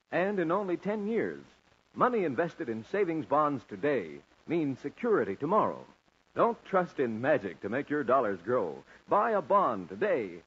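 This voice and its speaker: A man's voice: fairly high pitch, slightly rough. An American man reading scripted announcements as a 1940s radio announcer. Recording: scratchy, muffled 1940s radio recording.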